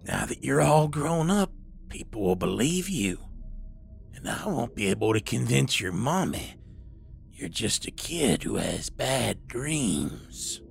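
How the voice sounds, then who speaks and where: A withered old male killer in a horror audio drama. He speaks very slowly in a gravelly, breathy rasp, coolly menacing and deliberate, with faint noise behind him. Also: mid-range voice, sing-song, exaggerated voice. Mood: cold menace. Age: older. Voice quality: raspy, breathy, smoke-worn. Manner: slow, deliberate, menacing delivery.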